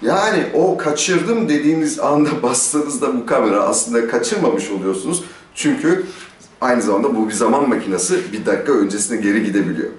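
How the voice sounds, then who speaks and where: A middle-aged man with a medium-pitched voice presents a gear review in a conversational, explanatory style. He puts questions to the listener and answers them himself. The sound is clearly echoey, picked up a little way from the microphone in a stone-walled courtyard. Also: animated, brisk, slight background noise. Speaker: motorcycle gear reviewer, host. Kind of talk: casual explanatory product review to camera. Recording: roomy echo, distant microphone.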